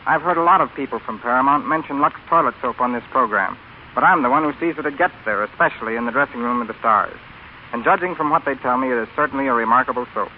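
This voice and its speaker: Quick-talking American man, old radio. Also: medium pitch. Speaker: guest on a radio show. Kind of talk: scripted 1930s radio talk. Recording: scratchy, tinny old radio broadcast.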